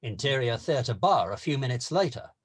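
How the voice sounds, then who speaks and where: A man narrating the stage directions of a screenplay at a table read, steady and descriptive. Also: mid-range voice, moderate pace, slightly rough, no echo, studio quality.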